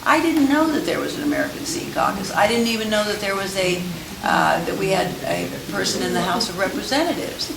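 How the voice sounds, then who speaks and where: A woman's voice: medium pitch, expressive, fairly quick, gravelly, few pauses. A woman in the audience asking a question at a panel in a hesitant way, with many restarts. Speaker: audience member. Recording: echoey hall, distant, hissy.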